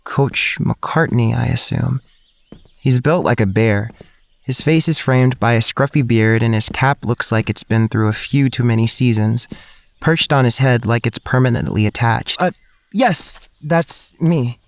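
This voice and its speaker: An anxious, introspective young student telling his own story in an audio drama. His voice sits at an average male pitch. He sounds earnest and a little shy. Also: measured pace, vocal fry, dry recording. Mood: nervous, polite. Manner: earnest, introspective, hesitant in dialogue. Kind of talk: first-person audio drama narration and acting.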